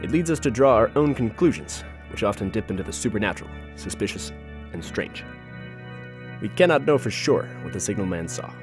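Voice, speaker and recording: A man's voice: lowish voice, lively intonation, a little fast, over music. A man playing a solemn, suspicious host of a conspiracy-mystery show, delivering a scripted monologue. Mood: solemn, mysterious.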